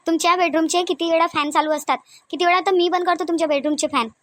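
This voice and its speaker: A woman voicing a domineering, thrifty, quick-tempered cartoon mother-in-law with a Varhadi Marathi accent, nagging in repeated rhetorical questions. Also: very high voice, fairly even intonation, no echo. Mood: scolding, sarcastic. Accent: Varhadi Marathi (Vidarbha). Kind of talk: comedic cartoon dialogue.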